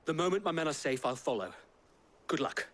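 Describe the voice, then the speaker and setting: A man's voice: a little high, animated, fairly quick, close to an everyday voice. A man playing a loyal, courteous knight who commands men in a game drama, speaking formally and dutifully. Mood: determined. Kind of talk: video game drama acting.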